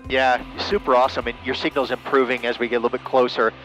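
A man chatting over ham radio, relaxed and enthusiastic, with lots of fillers, from a small plane cockpit and his radio room. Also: medium pitch, fast, faint music behind, low-quality recording. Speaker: private pilot and ham radio operator. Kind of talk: casual radio chat.

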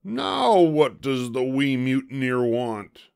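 A man voicing a loud, gruff, blustering pirate captain from a storybook, with theatrical hollering. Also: medium pitch, big pitch swings, slow, voice pushed up, full character voice. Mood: indignant, alarmed. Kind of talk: storybook character voice.

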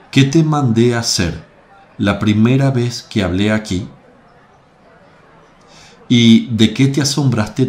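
Guy playing a calm religious teacher, radio play, low voice, super slow and solemn. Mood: probing. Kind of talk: radio drama acting.

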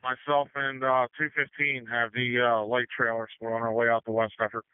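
A man's voice: medium pitch, flat delivery, moderate pace, clear, resonant tone, no echo, phone-quality sound. A man working as an emergency responder, speaking tersely over a two-way radio. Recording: band-limited emergency radio transmission.

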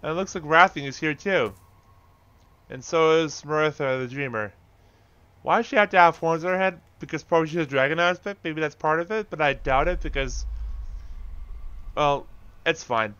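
A man's voice: fairly high pitch, moderate pace, a bit bright, smooth, no room sound, dull audio. A man giving casual gameplay commentary, talking to the characters on screen and swearing mildly. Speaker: gaming video host.

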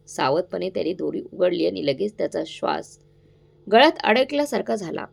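A woman's voice: mid-range voice, vocal fry, darkish tone. A woman narrating a scripted story in a dramatic, suspenseful way, addressing listeners directly.

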